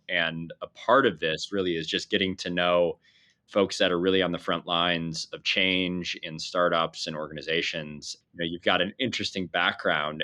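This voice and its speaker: A man hosting a leadership podcast, reading a scripted intro and then interviewing a guest in a measured, friendly way. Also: deep voice, pushed and bright. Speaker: podcast host and executive coach.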